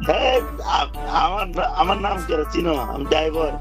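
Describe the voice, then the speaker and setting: A man's voice: on the high side, lively intonation, fairly quick. A man, a driver on a phone call, protesting and interrupting to be heard, with music behind. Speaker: driver receiving a prank call. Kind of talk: agitated phone argument.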